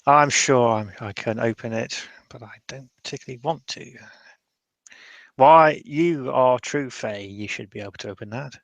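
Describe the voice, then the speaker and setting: Man acting out world-weary male mage, wry, grumbling, rambling, medium pitch, close dry recording, tabletop roleplay. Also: moderate pace, vocal fry, no echo, lightly acted, low-quality recording. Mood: reluctant, grumbling. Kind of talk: improvised tabletop roleplay, in character.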